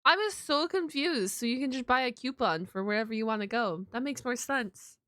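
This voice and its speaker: A woman streamer giving casual, slangy live reactions in an expressive, exclamatory way. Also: a little high, normal speed, a little hiss or hum.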